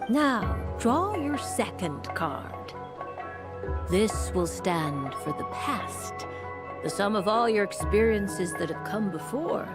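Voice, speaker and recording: An actress playing a refined woman mage and fortune-teller in a video game, speaking formally and courteously with measured eloquence. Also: mid-range voice, big pitch swings. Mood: solemn, guiding. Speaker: fortune-telling mage character. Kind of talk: video game character acting. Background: music.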